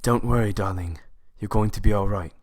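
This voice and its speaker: A man acting a reassuring, smooth-talking member of a covert crew in an amateur audio drama. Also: on the low side, brisk, a little mellow, lightly acted. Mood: soothing.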